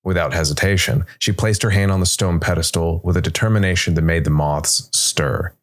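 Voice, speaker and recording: A man narrating a scripted dark fantasy story in a measured, deliberate way. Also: low-pitched. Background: music.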